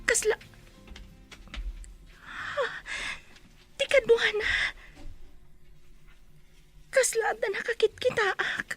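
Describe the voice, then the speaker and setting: An actress playing a tender, emotional young office worker in a radio drama, speaking haltingly. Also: female voice, very high-pitched, steady tone, fairly bright tone, faint music behind, exaggerated voice. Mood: puzzled, hesitant. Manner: slow, halting, hesitant delivery. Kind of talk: Ilocano radio drama acting.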